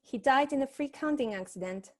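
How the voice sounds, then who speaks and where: A woman's voice: high-pitched, lively intonation, brisk. A woman playing a private eye's worried, loyal assistant, speaking earnestly in a comic detective radio play. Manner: earnest, cautioning.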